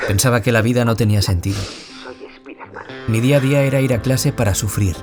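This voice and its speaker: A man's voice: medium pitch, fairly quick, over music, close to an everyday voice. An actor playing a wounded young man from Spain who was bullied, confiding his story as an audio-drama interviewee with a Spain Spanish accent. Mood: anguished, confiding. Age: young adult. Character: wounded young man, former bullying victim, anguished, confiding. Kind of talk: scripted audio-drama monologue in interview form.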